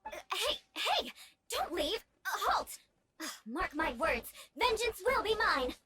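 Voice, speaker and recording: A woman's voice: very high voice, sing-song, takes it slowly, very noisy, heavily acted. An actress voicing a haughty, prim young noblewoman in a video game, speaking formally and imperiously with ornate phrasing. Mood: flustered. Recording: game music behind the voice.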